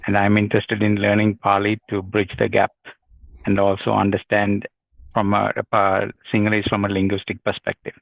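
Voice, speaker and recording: A man, speaking in a low, gravelly and very flat voice. His audio is tinny, dry and phone-like. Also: normal speed, dry recording. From Sri Lanka. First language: Tamil. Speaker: student. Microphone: video call.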